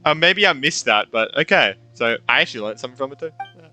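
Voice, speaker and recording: A young man gives casual, slangy commentary as he plays a game. His voice is bright and forward, medium in pitch, and he thinks aloud with soft music behind him. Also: lively intonation, quick pace. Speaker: gamer playing through a story quest. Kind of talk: casual let's play commentary.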